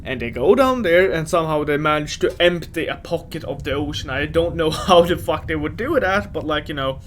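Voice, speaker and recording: Casual higher-voiced man, noisy livestream. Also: animated, fairly quick. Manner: loose, profane, thinking out loud. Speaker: livestream host. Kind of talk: casual unscripted livestream chat. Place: livestream from a previous broadcast.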